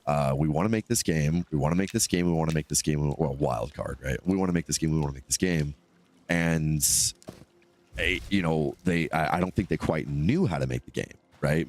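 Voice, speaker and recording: A male streamer chatting casually with his viewers while he plays, stuffed up from a cold and rambling between topics. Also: very deep voice. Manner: rambling, chatty, answers chat comments. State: stuffed-up nose. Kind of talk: casual live-stream chat while gaming.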